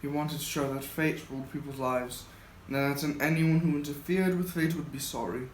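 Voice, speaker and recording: A man's voice: fairly high pitch, fairly even intonation, normal speed, lightly acted. A young man in an amateur school play acting a reluctant, wary middle-aged army sergeant major. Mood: reluctant, grave. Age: young adult. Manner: reluctant, warning. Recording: echoey room, faint noise.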